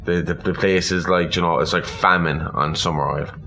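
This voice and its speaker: A man's voice: low voice, fairly even intonation, a little fast, sharp tone. A man co-hosting a podcast, recapping a film story in an informal, casual way. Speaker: podcast co-host. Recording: clear background room noise.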